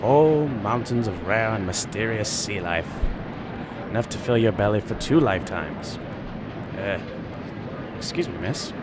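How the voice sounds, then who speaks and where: A man's voice: lively intonation, measured pace, pauses often. A man playing an effusive, courtly, grandiose businessman in a period radio drama, talking in a florid, chatty way. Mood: effusive, genteel. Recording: train rumble behind the voice.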